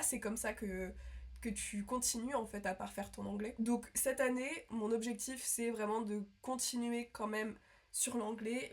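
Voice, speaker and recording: A young woman from France chatting casually to her viewers, rambling with lots of fillers and restarts. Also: mid-range voice. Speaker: vlogger. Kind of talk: casual chat to camera.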